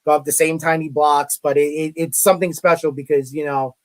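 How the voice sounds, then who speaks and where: A man's voice: on the high side, little pitch movement, brisk. A middle-aged man with a Brooklyn accent, a guest chatting casually in an interview and often repeating his words. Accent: Brooklyn New York. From Brooklyn, New York. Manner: chatty, repeats words, self-deprecating asides. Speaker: guest, college media relations director.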